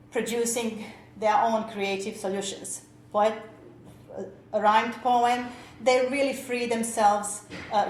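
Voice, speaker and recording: A woman teacher, presenting at a workshop with many fillers and restarts. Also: fairly high pitch. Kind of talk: conference presentation. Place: lecture room. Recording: echoey lecture room, faint noise.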